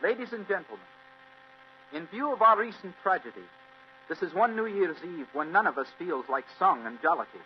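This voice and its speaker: An actor playing an earnest, anxious young heir with a formal, impassioned delivery in a vintage radio drama. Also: male voice, high voice, lively intonation, fairly quick, faint music behind. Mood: resolute, formal. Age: young adult. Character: earnest, anxious twenty-year-old heir to a castle. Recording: muffled old radio recording with hum.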